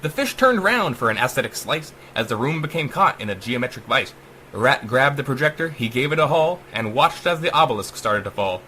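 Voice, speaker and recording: Animated man narrating dark rhyming verse. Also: mid-range voice, fairly quick, a little hiss or hum. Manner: theatrical, sing-song verse cadence. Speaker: author reading own story. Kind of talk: dramatic rhymed audiobook narration.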